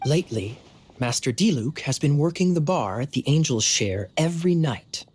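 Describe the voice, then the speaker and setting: A man's voice: on the high side, expressive, moderate pace, a little mellow. An actor playing a suave, sly young captain in a video game, self-assured and coy in his delivery. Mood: sly, confident. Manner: smooth, coy, insinuating. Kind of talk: video game character acting.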